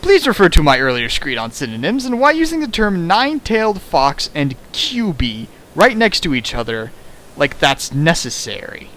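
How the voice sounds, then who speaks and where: A man's voice: on the high side, very animated, normal speed, fairly bright tone, poor audio. A man doing a mocking dramatic reading of fan fiction with sarcastic, hammy asides, recorded at home with hiss.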